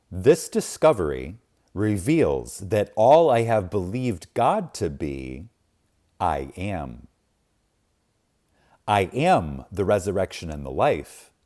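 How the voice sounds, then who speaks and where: Slow, solemn man reading scripture-like text. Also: sits low, dark tone. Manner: solemn, emphatic, with deliberate pauses. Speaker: reader of a spiritual book. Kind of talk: reverent scripted reading, audiobook-like.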